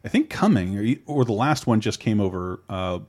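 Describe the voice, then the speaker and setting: A man's voice: lowish voice, sing-song, fairly quick, a little mellow. A man hosting a casual podcast chat. He trails off, restarts his sentences and laughs in between.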